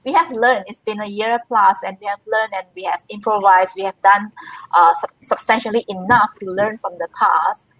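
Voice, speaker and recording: A woman's voice: medium pitch, steady tone, moderate pace, fairly bright tone, no echo, low-quality recording. A woman university representative giving earnest, encouraging advice in a live online Q&A over a video call.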